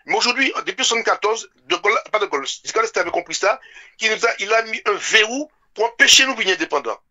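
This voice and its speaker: Male radio talker, French Caribbean accent, quick and high-voiced, impassioned, repeats himself for emphasis. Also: lively intonation, bright, forceful tone, dull audio. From French Antilles. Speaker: radio show speaker. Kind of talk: impassioned informal political discussion.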